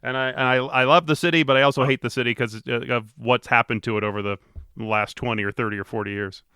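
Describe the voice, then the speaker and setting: Chatty man with a smooth, dry voice. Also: medium pitch, fairly even intonation, quick pace, sharp tone, dry recording. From Detroit, USA. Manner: chatty, rambling with many restarts. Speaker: podcast host. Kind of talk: casual interview chat.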